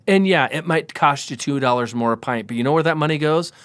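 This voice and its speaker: A man's voice: a little high, a little fast, a bit bright, no room sound. A man in his forties, a podcast guest in casual chat, talking emphatically and preaching his views. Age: 40s.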